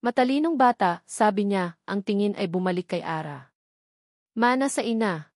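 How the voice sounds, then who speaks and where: A woman's voice: mid-range voice, darkish tone, no echo, clean studio sound. A woman giving scripted, dramatic narration of a story as its narrator.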